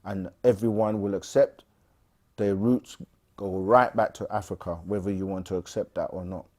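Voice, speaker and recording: A man co-hosting a casual livestream, reading viewer questions aloud and answering them with frequent pauses and fillers. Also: low voice. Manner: relaxed, frequent "um" and restarts, reads questions aloud.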